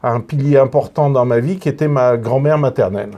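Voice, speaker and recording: A man from northern France, a guest on a radio interview, telling his story slowly with many restarts and repeated words. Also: mid-range voice, warm tone. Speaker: guest, retired police officer.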